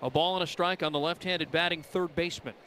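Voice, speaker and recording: High-voiced American baseball radio announcer, man. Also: fast, a little hiss or hum. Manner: excitable, voice rises on big plays. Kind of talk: live baseball radio commentary.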